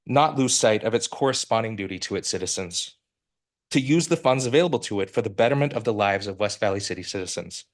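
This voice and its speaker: A man in his forties from Utah stands at a podium microphone in a city council chamber, speaking at a middling pitch. His public comment sounds prepared, formal and earnest. He builds his case steadily, with the odd restart mid-sentence. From Utah, United States. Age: 40s. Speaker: resident giving public comment.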